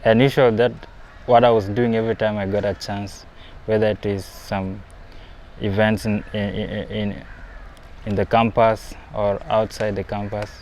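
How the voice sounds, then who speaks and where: A young man from South Sudan with a fairly low voice speaks in a documentary interview, thoughtful at first and livelier as he goes on. Faint outdoor ambience and passing traffic hang behind him. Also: little pitch movement, normal speed, darkish tone. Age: young adult.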